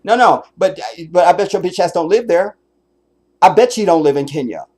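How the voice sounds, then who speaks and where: A man's voice: sits high, expressive, normal speed, a little mellow. Blunt, profane male streamer. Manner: blunt, emphatic, swears often. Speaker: streamer commenting on a clip. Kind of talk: profane reaction commentary.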